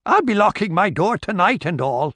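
A man's voice: high voice, very animated, normal speed, a bit bright, no room sound, voice pushed up, full character voice. A man voicing a gossipy old woman shopkeeper who scolds and wags her finger.

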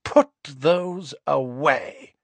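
A man voicing a cold, haughty aristocratic mother who speaks slowly and with disdain. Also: sits high, very animated, a bit bright, no echo, pitched above the performer's own voice, heavily acted. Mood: cold threat. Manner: icy, slow, disdainful.

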